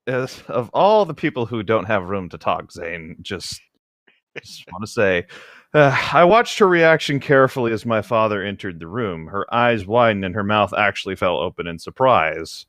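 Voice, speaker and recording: Male co-host, somewhat low voice, dryly jokey, loose stammering chat and amateur reading, close dry recording. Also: expressive, normal speed, a bit bright, no echo. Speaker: podcast co-host.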